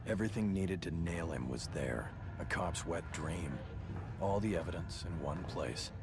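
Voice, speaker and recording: A man's voice: low-pitched, normal speed. An actor playing a hardened, vengeful cop who narrates his case in a terse, sardonic noir style for a video game. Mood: brooding. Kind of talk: hard-boiled noir game narration and cutscene dialogue. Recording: loud music behind the voice. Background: music.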